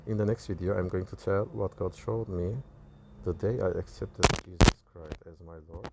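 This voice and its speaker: A man with a Turkish accent and Turkish as his first language gives a personal testimony, recounting visions in halting, self-correcting phrases. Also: sits low, fairly even intonation, gravelly, heavy background noise. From Turkey. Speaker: religious video creator.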